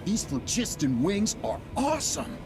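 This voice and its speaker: A man's voice: high-pitched, huge pitch swings, unhurried, over loud music, exaggerated voice. A man playing an earnest, hesitant young trainee in a video game. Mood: impressed.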